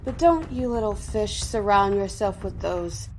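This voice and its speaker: A woman voicing a defiant, sharp-tongued elf leader in a fantasy audio drama, sardonic and cutting. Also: medium pitch, lively intonation, measured pace, soft background music, natural voice, poor audio. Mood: mocking.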